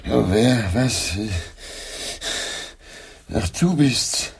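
A man acting in a German radio play as a gruff, loyal senior member of a theatre troupe, with a blunt manner. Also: medium pitch, expressive, extremely slow, exaggerated voice. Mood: sleepy, grumbling.

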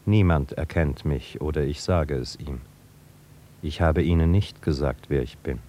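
An actor playing a mysterious, otherworldly man in a radio drama, speaking with solemn, measured, cryptic calm. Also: rumbling low. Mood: solemn, menacing. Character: mysterious man in a raincoat, otherworldly, calmly implacable. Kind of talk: German radio drama acting.

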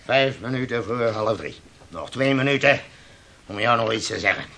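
An actor in a Dutch radio play, playing a haughty, hard-nosed shipowner who lectures and scolds indignantly. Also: male voice, medium pitch, lively intonation, normal speed, vocal fry, pushed and bright. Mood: lecturing.

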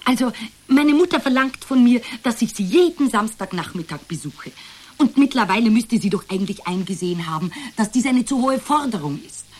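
A woman's voice: on the high side, expressive, brisk. An actress playing a hesitant, burdened therapy client in a radio drama, searching for her words in conversational speech. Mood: burdened, frustrated.

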